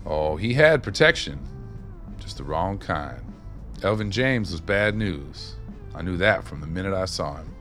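A man's voice: low voice, animated, a little slow, a bit bright, soft background music. An actor playing a streetwise, wry former maintenance man, telling stories in a folksy, colloquial way in a radio play. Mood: grim, wry. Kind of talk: radio play dialogue, reminiscing.

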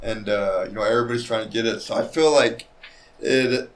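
A man's voice: on the low side, fairly quick. A man who fights professionally, talking casually in an interview about diet and his career, with a loose, rambling manner full of fillers and restarts. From Mexican heritage. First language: Spanish speaker. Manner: laid-back, rambling with many likes and restarts. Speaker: mixed martial arts fighter, guest.

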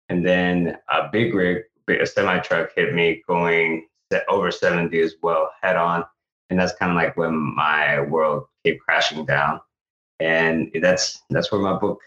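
A man from El Salvador with a very deep voice tells his story earnestly, often restarting his sentences. The sound is echoey and a little dull, like a room heard over a remote call. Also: a bit bright. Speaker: guest, actor and author. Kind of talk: conversational interview storytelling.

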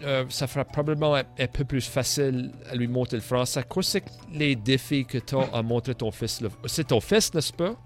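A man's voice: mid-range voice, moderate pace, hardly any pauses, faint music behind. Man hosting an interview. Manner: asks questions, hesitant, drops English fillers. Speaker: podcast host. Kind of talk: casual interview.

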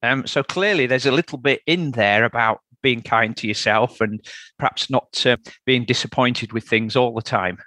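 A man's voice: mid-range voice, moderate pace, sharp tone, no room sound. Yorkshire man hosting a podcast. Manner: friendly, chatty, with fillers. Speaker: podcast host. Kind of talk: podcast interview.

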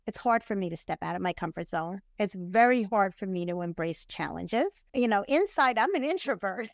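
A woman's voice: on the high side, no echo, clean studio sound. A middle-aged woman, a real estate agent, talking in a casual interview over a video call. She talks with energy, laughs often and keeps saying "you know" and "right".

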